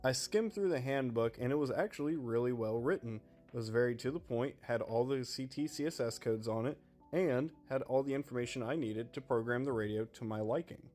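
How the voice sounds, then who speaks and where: Male review narrator, mid-pitched, clear explanatory presenter delivery, music underneath. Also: brisk, darkish tone. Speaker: product reviewer. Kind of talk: scripted product review voice-over. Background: background music.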